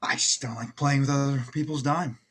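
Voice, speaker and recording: A man's voice: medium pitch, steady tone, a little fast, a bit bright, slightly rough. A man playing a nervous, bookish, principled newcomer at a poker game, hesitant, polite and articulately sarcastic in a drama table read.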